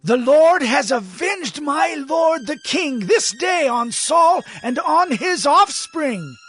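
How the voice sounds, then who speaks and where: Very high, boastful assassin captain. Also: male voice, big pitch swings, takes it slowly, fairly bright tone, slight background noise, heavily acted. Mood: triumphant, boastful. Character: boastful assassin captain, eager to please his king. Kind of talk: dramatized scripture acting. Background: music.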